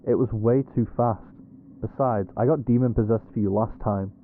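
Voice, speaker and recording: A man's voice: mid-range voice, steady tone, normal speed, narrow, tinny audio, slight background noise, close to an everyday voice. A man playing a cocky, defensive ghost hunter in an audio roleplay, talking in a wry, sweary, conversational way. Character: Reggie, cocky defensive male ghost hunter, quick with excuses.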